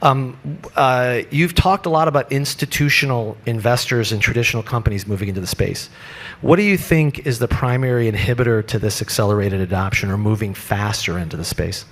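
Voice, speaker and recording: A man moderating a conference panel, easygoing and a little halting, laughing along with the panelists. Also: medium pitch. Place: conference stage.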